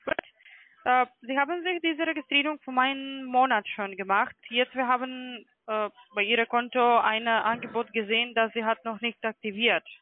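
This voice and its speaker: A woman's voice: on the high side, steady tone, normal speed, dry recording. A woman, a non-native German speaker with a foreign accent, making a sales call as a call centre agent. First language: not German. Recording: narrow phone-line sound.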